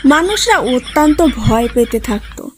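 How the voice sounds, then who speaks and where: A woman's voice: sits high, sing-song, unhurried, slight background noise. A woman narrating a scripted fairy tale for a cartoon.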